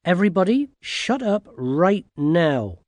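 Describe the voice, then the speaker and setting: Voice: medium pitch, a little slow, mellow, no room sound, pitched above the performer's own voice, natural voice. A narrator voicing a bold, determined young girl heroine in a storybook, direct and demanding. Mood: exasperated, commanding.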